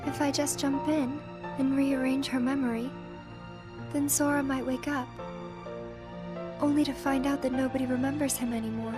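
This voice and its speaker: An actress playing a solemn, wistful young girl in a fantasy game cutscene, speaking in a measured, pensive way. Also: high voice, steady tone, close to an everyday voice. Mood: grave, resigned. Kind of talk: dramatic game cutscene acting. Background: new-age style music.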